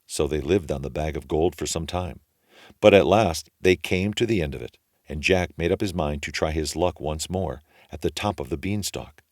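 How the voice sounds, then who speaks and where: A man's voice: a bass voice, normal speed, dry recording, studio quality. A man narrating a children's fairy tale as a storyteller, in a folksy, colloquial manner.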